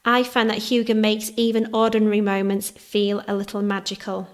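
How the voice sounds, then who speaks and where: A woman hosting a podcast, reading a scripted narration in a soft, measured way. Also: medium pitch, completely flat delivery. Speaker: podcast host.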